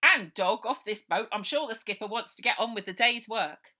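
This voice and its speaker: A woman's voice: on the high side, moderate pace, sharp tone, pitched above the performer's own voice. A woman voicing a pompous, officious fat old male police sergeant in a storybook. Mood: blustering. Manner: officious, bossy.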